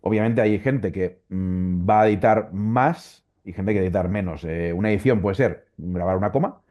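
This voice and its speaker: Low-voiced Valencian man, dull recording. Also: moderate pace. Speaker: interview guest, volunteer Wikipedia editor. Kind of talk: conversational explanation in an interview.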